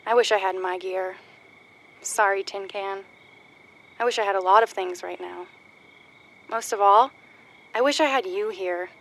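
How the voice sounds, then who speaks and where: A woman playing a rueful, tender older sister in an audio drama, speaking in a halting, confessional way. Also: mid-range voice, fairly bright tone, rough recording. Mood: wistful, tender. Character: rueful, tender older-sister runner recording a heartfelt message.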